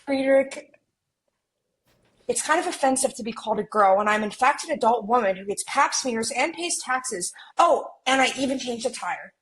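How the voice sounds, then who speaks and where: Woman playing husky, high-voiced amused millennial. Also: animated, normal speed, fairly bright tone, poor audio. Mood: mildly indignant. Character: amused millennial American woman, polite but self-assured. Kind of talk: table read of a romcom script.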